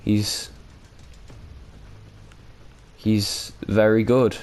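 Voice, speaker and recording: A man's voice: on the low side, little pitch movement, very slow, a little mellow. A man hosting a gaming video with casual, unscripted commentary, rambling and hesitant with loose, sweary language.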